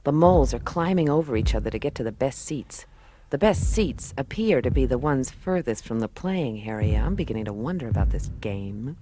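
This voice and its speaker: A woman's voice: extremely low pitch, expressive, a little fast, dark tone, slight background noise. An actress playing a tough, wry female space detective in a radio play, delivering punchy first-person narration and sardonic lines. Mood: tense narration.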